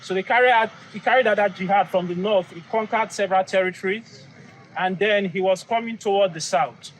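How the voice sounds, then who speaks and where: A man's voice: high voice, slight background noise, poor audio. A young man from Nigeria with a thick Nigerian accent, firmly explaining history in a campus debate. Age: young adult. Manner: firm, assertive, quick to agree and build his point. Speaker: college student in a campus debate.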